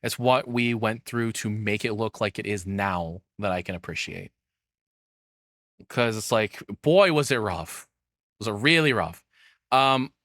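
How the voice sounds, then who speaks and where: A man's voice: medium pitch, fairly bright tone, no echo, studio quality. A man giving casual, opinionated commentary, rambling and swearing bluntly as he goes. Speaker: streamer reacting to a video.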